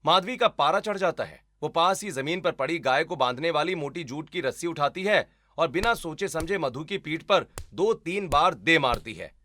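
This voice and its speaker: Bright, forceful male story narrator. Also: a little high, expressive, normal speed, few pauses, dry recording. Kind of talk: scripted dramatic story narration.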